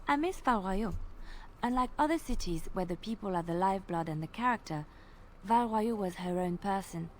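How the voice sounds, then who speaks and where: A woman's voice: medium pitch, expressive, moderate pace, a little hiss or hum, close to an everyday voice, rough recording. A woman voicing a dreamy, playful bard. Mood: wistful. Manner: soft, lilting, fond of detail. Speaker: game companion character.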